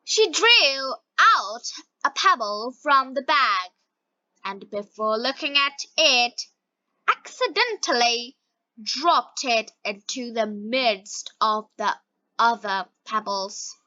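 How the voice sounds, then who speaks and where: A woman reading scripted story narration for language learners, word by word with frequent pauses. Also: a little high, expressive, bright, forceful tone, dry recording, dull audio.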